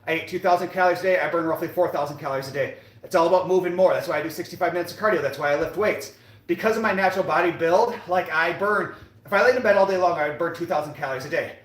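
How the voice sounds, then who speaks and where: A man's voice: a little high, racing. An American man in his late thirties chatting casually to livestream viewers during a workout, with a motivational, repetitive way of talking. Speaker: fitness live streamer. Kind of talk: casual livestream chat while working out. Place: indoor gym. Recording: echoey room.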